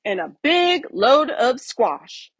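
A scruffy storybook varmint, played by a woman reading aloud, grumpy and quick to snarl. He barks his orders in a husky, animated voice, close and dry. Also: sits high, dry recording, pitched above the performer's own voice, full character voice. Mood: barking, irritated. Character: grumpy scruffy male varmint with a nasty temper. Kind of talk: children's storybook read-aloud.

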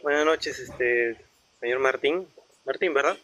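A man's voice: mid-range voice, a little slow, bright, forceful tone, no echo, rough recording. A man from Peru, calling in to a religious debate, hesitant with many fillers. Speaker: caller. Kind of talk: call-in debate.

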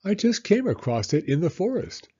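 Man putting on a pompous, husky storybook owl. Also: sits high, big pitch swings, moderate pace, dark tone, lo-fi sound, voice pushed up, full character voice. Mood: chatty, proud. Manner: pompous, formal, emphatic. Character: pompous, long-winded male owl. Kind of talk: children's storybook character reading.

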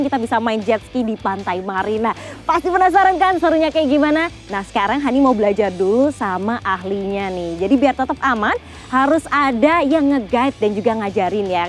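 A young adult woman presenting a travel show in an upbeat, animated, chatty way. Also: sits high. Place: outdoors on a grassy seaside shore.

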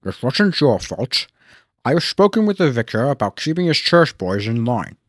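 A man voicing a stern, disapproving railway boss, measured and authoritative, in a children's storybook. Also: medium pitch, sing-song, dry recording. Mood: stern, reproving.

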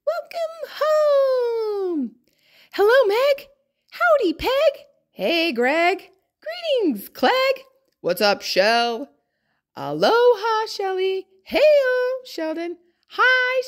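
A woman voicing an earnest, eager-to-please egg telling its own story in a children's picture book, with expressive, drawn-out emphasis. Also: very high-pitched, pitched above the performer's own voice, full character voice. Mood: excited, joyful.

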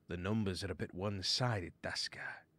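A man's voice: low-pitched, normal speed, fairly bright tone, no echo. A man voicing a brave, defiant warrior mouse held as a slave in a storybook. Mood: grim. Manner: grim, defiant.

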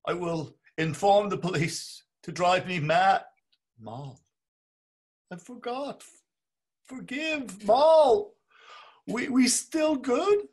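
A man's voice: high-pitched, takes it slowly, long pauses, breathy edge, full character voice. An actor playing a meek, grovelling middle-aged man, pleading and theatrical, in a dramatic reading over a video call. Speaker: stage actor.

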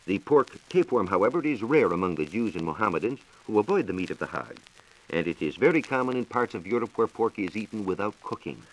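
A man's voice: lowish voice, big pitch swings, quick pace, rough recording. An American man giving a scripted, formal science talk on 1940s radio, explaining things in a declamatory way. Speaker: science reporter. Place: radio studio. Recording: hissy 1940s radio transcription disc.

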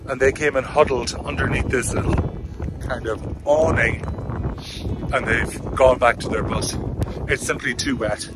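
A man's voice: mid-range voice, steady tone, unhurried, pauses often, very noisy. A man, chatting wryly and cheerfully as a travel vlogger, filmed on a camera at arm's length outdoors in the rain and inside a campervan. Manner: chatty, wry and upbeat. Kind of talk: casual travel vlog narration. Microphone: handheld camera at arm's length. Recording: music playing underneath.

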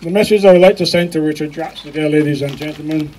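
An older man with a Barbadian accent gives a speech to a crowd outdoors. His voice is husky and mellow, fairly high for a man. He speaks into a handheld microphone amplified through a loudspeaker in a field. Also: low-quality recording. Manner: addressing the crowd, with pauses and restarts. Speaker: rally speaker.

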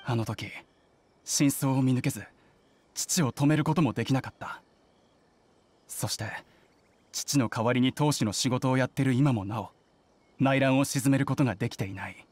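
A man's voice: mid-range voice, moderate pace, long pauses, darkish tone, dry recording, close to an everyday voice. A man voicing an earnest young nobleman in an anime game, burdened and sober, with formal speech. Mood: ashamed. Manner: formal, sober, self-reproaching.

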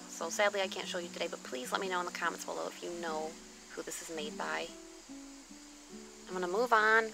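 A woman's voice: mid-range voice, gravelly, rough recording. A woman chatting casually and exclaiming as she shows things off in an informal, gushing show-and-tell outdoors. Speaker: vlogger showing thrift finds. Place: outdoors on a patio or deck at a table.

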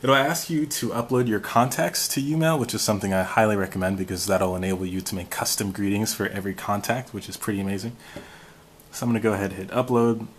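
A man's voice: fairly low pitch, fast, slight background noise. An American man hosting a casual how-to walkthrough of a phone app. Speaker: tutorial host.

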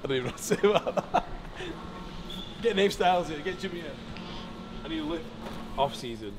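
A man's voice: a little high, expressive, brisk, noisy background. A young man vlogging casually in a supermarket, cheeky and jokey, with a bit of swearing. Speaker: bodybuilder vlogger. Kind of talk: casual vlog walkthrough.

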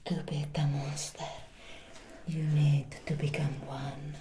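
A woman voicing a vengeful cursed vampire hunter turned monster, with a breathy, taunting whisper in an ASMR roleplay. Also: deep voice, little pitch movement, roomy sound, heavy background noise. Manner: drawn-out, taunting, menacing delivery. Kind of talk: soft-spoken ASMR roleplay.

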